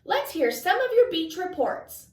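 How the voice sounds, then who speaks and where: A woman voicing a cheerful, adventurous schoolteacher in a storybook read-aloud, with enthusiastic, drawn-out emphatic phrases. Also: very high-pitched, very animated, voice pushed up, exaggerated voice. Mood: bright, eager. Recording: echoey room, distant mic.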